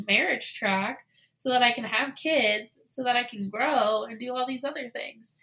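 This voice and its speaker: A woman in her twenties hosting a solo podcast, talking casually with lots of fillers and animated asides. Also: fairly high pitch. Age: 20s. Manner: chatty, frequent "like" and "you know" fillers; animated, voices her own past thoughts. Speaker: podcast host.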